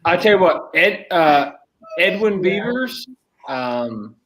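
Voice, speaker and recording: A man's voice: high voice, big pitch swings, slow, fairly bright tone, poor audio. A young American man chatting and telling stories casually on a podcast, blunt and freely swearing. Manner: blunt, profane. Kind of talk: casual podcast chat and storytelling.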